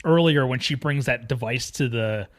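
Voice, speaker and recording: Casual man with a mid-pitched voice. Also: moderate pace. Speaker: film podcast host. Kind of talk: casual film discussion.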